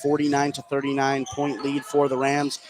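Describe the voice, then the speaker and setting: A man doing live play-by-play radio commentary on high school basketball, mixing game calls with conversational banter. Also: completely flat delivery, moderate pace, over music.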